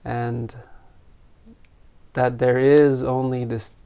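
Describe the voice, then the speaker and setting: Very slow, creaky-voiced meditation teacher, sex unclear. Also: mid-range voice, little pitch movement, dark tone. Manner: unhurried, with long pauses between short phrases. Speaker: meditation teacher leading an online retreat. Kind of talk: guided meditation, gentle spontaneous talk.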